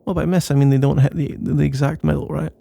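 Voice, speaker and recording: Man, very gravelly fry, mellow tone, playful mock-boastful rambling, ASMR boyfriend roleplay. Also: on the high side, fairly even intonation, fast talker.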